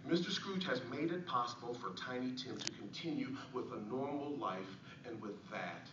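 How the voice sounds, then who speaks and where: A man playing a poor, humble Victorian father and clerk, reading his diary aloud in an earnest, theatrical way with deliberate pauses. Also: medium pitch, expressive, lightly acted. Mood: grateful, proud. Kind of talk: dramatic diary-style reading of an essay. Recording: echoey, noisy, muffled recording from far off.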